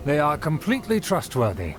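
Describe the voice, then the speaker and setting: A man's voice: on the high side, huge pitch swings, brisk. A man voicing a haughty, easily offended nobleman in a video game, with grand, formal, theatrical delivery. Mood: haughty, boastful. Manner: pompous, grandiose, formal diction. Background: game music.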